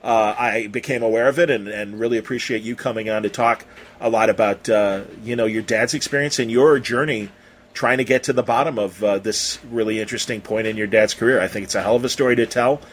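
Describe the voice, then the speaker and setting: A man hosting a casual podcast interview, talking in an effusive, rambling, halting way with some mild swearing. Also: fairly low pitch, steady tone. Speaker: podcast host interviewing a guest.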